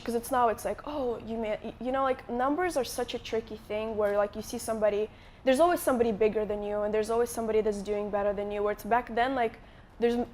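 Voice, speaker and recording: A woman's voice: on the high side, darkish tone. Casting note: young woman from Moldova, rambling and chatty, speaking live on a conference stage with faint noise behind her. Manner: chatty, many likes and restarts. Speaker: guest YouTuber. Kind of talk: casual onstage interview.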